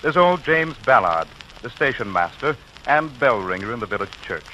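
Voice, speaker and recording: Bright, forceful 1940s radio announcer man. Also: medium pitch, big pitch swings, moderate pace, a little hiss or hum, poor audio. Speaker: announcer and narrator. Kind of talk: 1940s radio scripted narration. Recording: old 1940s radio recording.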